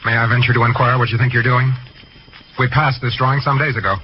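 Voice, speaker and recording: An actor playing a gruff, pompous older medical professor in an old-time radio drama, formal and biting in manner. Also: male voice, medium pitch, steady tone, fast talker, close to an everyday voice. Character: gruff, sarcastic, demanding older medical professor with hidden kindness. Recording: muffled, noisy vintage radio recording.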